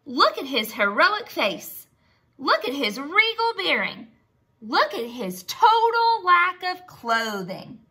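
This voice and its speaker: A woman's voice: sits high, big pitch swings, slow, sharp tone, voice pushed up, full character voice. A woman voicing sarcastic, disgusted naked mole rats from a storybook, exclaiming in a scornful, demanding way.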